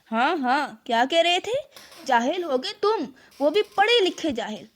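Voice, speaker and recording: A woman's voice: sits high, big pitch swings, unhurried. A woman voicing a boastful, indignant village police inspector in a children's cartoon story. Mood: angry. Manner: pompous, indignant.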